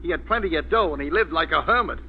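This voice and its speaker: An actor playing a gruff, exasperated police inspector who talks in blunt slang, in an old radio mystery. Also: male voice, on the high side, lively intonation, quick pace. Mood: irritated, blustering. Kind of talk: 1940s radio mystery acting. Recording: muffled, noisy old radio recording.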